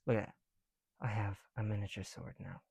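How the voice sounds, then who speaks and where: Soft, husky, creaky young man doing ASMR. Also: on the low side, little pitch movement, brisk, no echo, studio quality. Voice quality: soft, breathy, often drops to a whisper. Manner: self-deprecating, rambling, apologetic. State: somewhat breathless through a heavy mask. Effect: speaking through a mask. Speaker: ASMR video creator. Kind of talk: ASMR, casual chat while building bricks. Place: indoors, at home.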